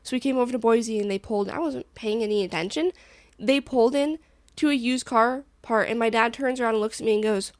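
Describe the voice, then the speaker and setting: A woman's voice: fairly high pitch, a little fast, no room sound, clean studio sound. A young woman co-hosting a podcast, telling stories in a rambling, casual way with lots of "like". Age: young adult. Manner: chatty rambling storyteller, heavy use of "like". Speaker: podcast co-host. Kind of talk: casual chat.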